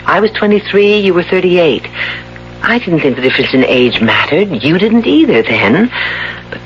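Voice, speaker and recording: A woman's voice: on the low side, sing-song, normal speed. An actress with an American accent playing a reckless, playful young wife in her twenties in a 1970s radio drama. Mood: earnest. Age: 20s. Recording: dull, noisy old radio broadcast.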